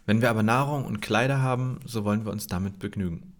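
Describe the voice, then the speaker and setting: A man's voice: lowish voice, fast, over loud music. A man with a slightly nasal cold hosting a casual devotional livestream, rambling loosely with many fillers and restarts and reading Bible verses to his viewers. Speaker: host of a daily Bible livestream.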